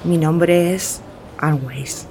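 A woman's voice: low voice, fairly even intonation, extremely slow, mellow, heavily acted. An actress playing a worried, weary mother of an injured child in a radio drama. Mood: distracted, anxious.

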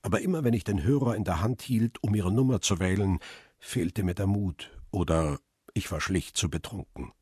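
An actor playing a lonely, melancholy middle-aged photographer who narrates a radio drama in a measured, reflective way. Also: male voice, low-pitched, a little mellow, breathy edge, dry recording, studio quality. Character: world-weary male photographer, lonely widower, melancholy. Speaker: first-person narrator and lead.

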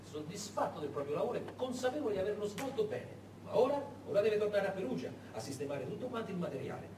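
A man narrating a story on stage in a dramatic, theatrical way, with deliberate pauses. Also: high voice, big pitch swings. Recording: echoey room, far mic, faint noise.

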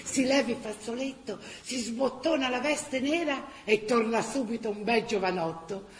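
An actress with a Tuscan accent playing an earthy, expressive storyteller in a theatrical wartime radio drama. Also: female voice, a little high, moderate pace, close to an everyday voice. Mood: tender, wry. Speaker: actress-narrator. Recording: roomy stage sound, faint background noise.

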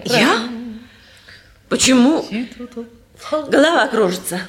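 An actress playing a timid, frightened wife of about thirty, meek and anxious, in 1950s Soviet stage style. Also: female voice, on the high side, expressive, slow, a crowd in the background. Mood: nervous. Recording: 1950s theatre recording with audience noise.

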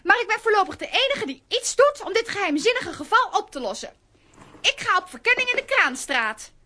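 An actress playing a feisty, bold, impulsive young girl in a children's radio play, excitable and pert. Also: extremely high pitch, fairly quick, full character voice. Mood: indignant.

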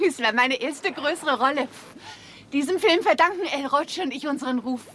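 Seductive, high-voiced Hollywood film star. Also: female voice, moderate pace, fairly bright tone. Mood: frank, bitter. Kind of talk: 1960s German radio crime drama acting. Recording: 1960s radio recording, faint background noise.

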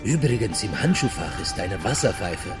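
An actor playing a calm, obliging taxi driver from a dream in a German radio drama. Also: male voice, on the low side, normal speed. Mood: calm, helpful. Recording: music bed under the voice. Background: music.

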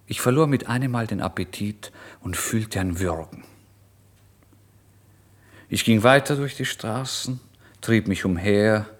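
An actor playing a poor, nervous, irritable young writer in a literary radio monologue, brooding and expressive, moving between hushed and forceful delivery. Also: male voice, lowish voice, natural voice. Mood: uneasy, disgusted. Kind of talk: literary first-person radio drama monologue.

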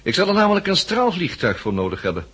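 Shrewd, husky smuggler, swooping pitch. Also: male voice, wildly swooping pitch, fast, fairly bright tone, heavily acted. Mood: smooth bargaining. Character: shrewd male seed smuggler driving a hard bargain. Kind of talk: 1960s Dutch radio drama acting.

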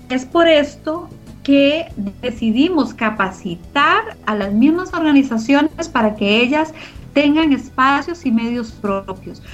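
A woman being interviewed, explaining her organization's work in a conversational way. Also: a little high. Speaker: interview guest from a community media organization. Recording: dull lo-fi audio, music behind.

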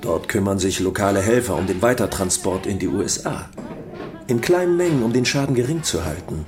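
Guy acting a young drug smuggler in radio drama, polite salesman type, pitch goes up and down a lot. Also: expressive, fairly quick, over music, natural voice. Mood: eager, persuasive.

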